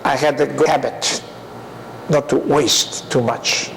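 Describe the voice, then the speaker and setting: An older man lectures at a slow, deliberate pace and keeps tossing rhetorical questions to his class. His voice is gravelly with a creaky edge and sits a little high. A faint hiss runs under it. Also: steady tone, fairly bright tone, rough recording. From Taiwan. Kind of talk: university lecture.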